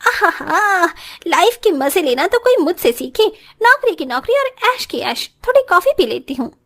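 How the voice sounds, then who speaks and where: A woman voices a spoiled, arrogant young rich daughter-in-law in a cartoon. Her voice is extremely high and swings widely in pitch. She talks down to people with haughty, cutting scorn. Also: sing-song, unhurried, exaggerated voice. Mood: smug. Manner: haughty, scornful, sharp-tongued. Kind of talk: Hindi moral story cartoon acting.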